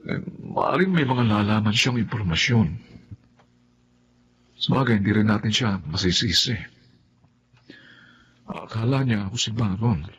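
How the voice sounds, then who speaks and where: Earnest young man, rough husky voice, flustered, radio drama, muffled audio. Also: lowish voice, a little slow, long pauses, a bit bright, heavily acted. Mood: explaining. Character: earnest, flustered young boyfriend mistaken for his twin. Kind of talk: Tagalog radio drama acting.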